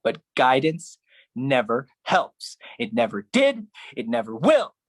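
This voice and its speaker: A man performing a theatrical spoken-word poetry monologue, phrased deliberately and dramatically, with shifting intensity. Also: high-pitched, a bit bright, no room sound, poor audio.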